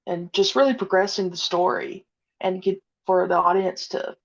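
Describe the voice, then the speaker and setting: A woman being interviewed about her audio drama, speaking hesitantly with many pauses and fillers. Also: on the low side, level tone, gravelly. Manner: hesitant, many ums and uhs. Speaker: podcast guest, audio drama creator. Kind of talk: casual interview chat. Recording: dull lo-fi audio.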